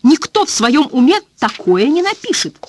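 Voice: high voice, sing-song, normal speed, darkish tone, pitched above the performer's own voice. A reader voicing a blunt, foul-mouthed woman cleaner who exclaims and rants in plain folksy speech. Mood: indignant.

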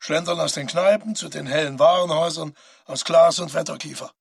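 Husky, higher-pitched, probing inner voice. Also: male voice, fairly quick, no echo, studio quality. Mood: probing, musing. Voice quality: husky, creaky. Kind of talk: literary radio drama monologue.

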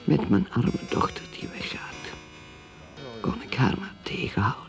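A woman acting in a radio drama as a bitter middle-aged mother in a breathy, half-whispered inner monologue. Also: very deep voice, big pitch swings, a little slow, exaggerated voice. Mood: bitter, brooding. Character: bitter, brooding mother mourning her daughter's marriage. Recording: loud guitar music behind voice. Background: plucked guitar music.

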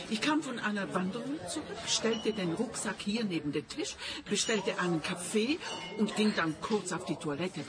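Animated, prickly, eccentric woman client. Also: on the low side. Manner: curt, insistent. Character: eccentric, prickly female client, insistent and secretive. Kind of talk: radio play acting.

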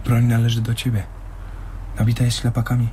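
A man's voice: mid-range voice, monotone, a little fast, very noisy, lightly acted. An actor playing a cornered, defensive man in a radio drama, tense and insistent. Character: cornered, defensive convicted man, agitated and demanding.

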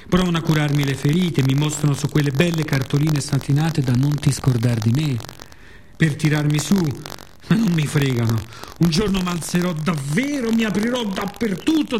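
A man voicing the brooding, mellow-voiced weary sea. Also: on the high side, natural voice, rough recording. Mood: menacing. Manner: brooding, theatrical, with deliberate repetitions. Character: the male sea, weary, bitter yet tender narrator. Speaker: actor performing own monologue. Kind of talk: dramatic radio theatre monologue. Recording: clear background noise and ambience.

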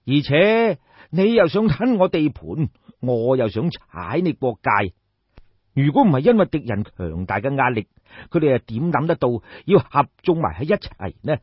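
A man's voice: medium pitch, husky, dry recording, highs cut off. A man voicing a shrewd, commanding ancient king in an audiobook, lecturing in a pompous, rhetorical way. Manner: pompous, rhetorical, sighing and explaining at length. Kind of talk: dramatised audiobook storytelling.

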